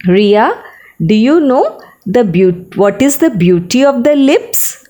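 A sweet, playful little girl from a storybook, voiced by a woman. She talks very slowly in a high, lilting sing-song. Her delivery is wide-eyed and doting, like a younger sister with her doll. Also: very animated, warm tone. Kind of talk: children's story dialogue.

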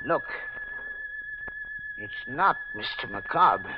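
An actor playing a frightened, paranoid lab attendant in an agitated, accusing, theatrical 1940s radio mystery style. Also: male voice, sits high, wildly swooping pitch, very slow, over loud music, full character voice. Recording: dull, noisy old radio recording.

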